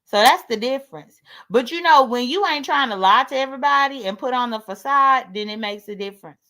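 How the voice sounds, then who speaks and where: A woman's voice: high-pitched, expressive, a little fast, pushed and bright. A woman in her late thirties with an American accent, chatting casually on a livestream in a blunt, sassy way and swearing freely. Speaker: livestream host. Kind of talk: casual livestream chat, airing grievances.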